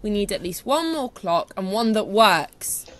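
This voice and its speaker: A woman's voice: mid-range voice, big pitch swings, a little slow. Woman playing an exasperated, sensible British shop manager. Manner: sarcastic, weary, practical. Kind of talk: comic audio drama acting.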